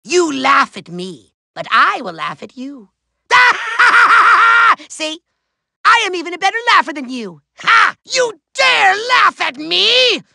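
A man voicing a short-tempered megalomaniac villain in a giant robot body for a video game, shrill, raspy and nasal, pompous, bombastic and gloating. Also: very animated, moderate pace, bright, forceful tone, dry recording, raised voice, heavily acted. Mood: mocking, raging. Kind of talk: theatrical cartoon villain acting.

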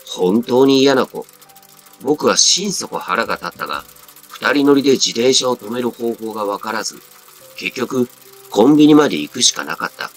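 A man's voice: medium pitch, lively intonation, moderate pace. A man reading a scripted first-person story as a dramatic narrator. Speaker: story reader. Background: background music.

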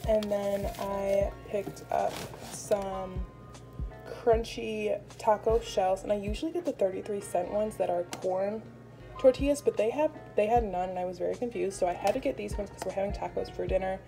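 A woman's voice: mid-range voice, normal speed, vocal fry, mellow, low-quality recording. Chatty young American woman over music. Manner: chatty, informal. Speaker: vlogger. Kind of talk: casual grocery haul narration to viewers. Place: indoors in a home kitchen. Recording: music playing behind the voice.

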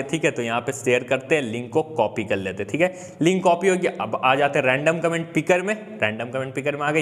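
A man's voice: on the high side, big-room sound. A young man casually talking viewers through a game update and a giveaway draw, chatty and friendly, calling them brother. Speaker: gaming YouTuber. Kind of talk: casual screen-recorded giveaway commentary.

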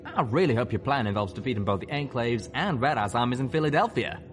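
A wary, folksy cowboy pegasus, as a male narrator plays him in a storybook tale. He is plain-spoken and animated, a little above the reader's natural pitch. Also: quick pace, slight background noise, close to an everyday voice. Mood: uneasy.

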